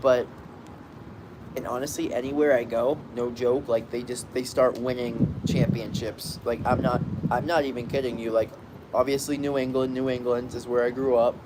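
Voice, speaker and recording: A man's voice: mid-range voice, level tone, moderate pace. A young man chatting casually on a balcony, rambling with many fillers and restarts while talking to his viewers. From Boston area, Massachusetts. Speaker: streamer reading chat. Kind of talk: casual live chat. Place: outdoor balcony. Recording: clear outdoor traffic noise. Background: street and traffic noise.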